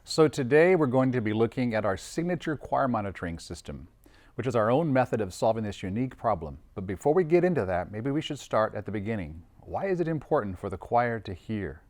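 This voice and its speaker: A man's voice: medium pitch, sing-song, fairly quick, a little mellow. Male presenter, mid-range voice, scripted promotional interview, host role. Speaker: host, video and audio designer.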